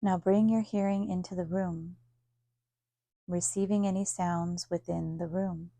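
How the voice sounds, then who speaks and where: A woman with a soft-edged, mellow voice and noticeable vocal fry leads a guided relaxation. She speaks calmly and soothingly, leaving long pauses between short instructions. The sound is close and dry, with no room around it. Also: fairly low pitch, dry recording. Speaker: yoga nidra teacher. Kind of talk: guided meditation.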